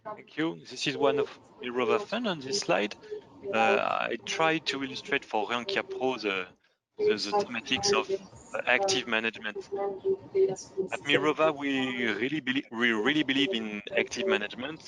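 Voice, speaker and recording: A man working as a fund manager, explaining slides in a deliberate, emphatic way. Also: mid-range voice, lively intonation, vocal fry, a loud crowd, rough recording.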